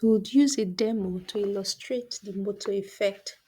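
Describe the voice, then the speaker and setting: A woman presenting a scripted educational narration as a science teacher, deliberate and explanatory, posing questions and then answering them. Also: medium pitch, warm tone, breathy edge.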